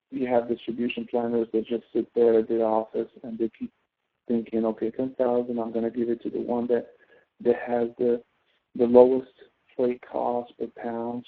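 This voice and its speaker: A man tutoring step by step through a spreadsheet task, explaining and often checking that the listener follows. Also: mid-range voice. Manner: explanatory, often checks understanding with tag questions. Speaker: instructor, tutor. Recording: narrow tinny phone-like line.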